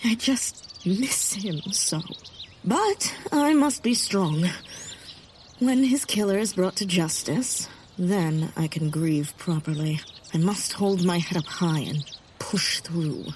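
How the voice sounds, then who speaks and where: A high-minded, determined heroine of a period audio comedy. Her voice is on the low side, resolute and melodramatic. A faint noise runs behind it. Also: animated, slow, darkish tone. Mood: stoic, resolute.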